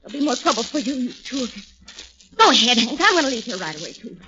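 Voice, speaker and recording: High, urgent young woman, old radio. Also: very animated, fast talker. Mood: tense, pleading. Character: anxious, urgent young woman caught in a violent scrape. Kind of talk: 1940s radio melodrama acting. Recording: noisy, dull 1940s radio recording.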